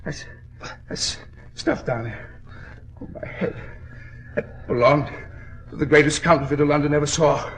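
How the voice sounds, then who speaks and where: Give this wounded killer a fairly high voice and slangy, halting speech. He is a desperate American swindler who wheedles and pleads his way through a 1940s radio drama. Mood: pained, pleading. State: hurt, head bleeding. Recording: vintage 1940s radio broadcast.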